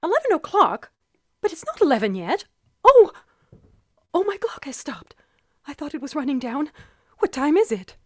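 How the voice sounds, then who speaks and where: High-pitched, fretful invalid wife, acted by a woman. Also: big pitch swings, normal speed, pauses often, no room sound, clean studio sound. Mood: agitated, upset. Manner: fretful, demanding, dramatic. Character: nervous, imperious invalid wife, bedridden, increasingly terrified. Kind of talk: old-time radio thriller acting.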